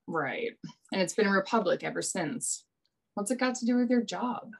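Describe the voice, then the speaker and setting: A woman's voice: mid-range voice, lively intonation, normal speed, gravelly, breathy edge. A woman playing a brash, wry young male adventurer in a radio play, with a dry, teasing manner. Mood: knowing.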